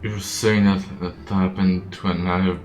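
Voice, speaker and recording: Man as a low, halting young adventurer. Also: little pitch movement, a little mellow, close to an everyday voice. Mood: hesitant, thoughtful. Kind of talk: voiced video game dialogue. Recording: roomy echo, game music behind.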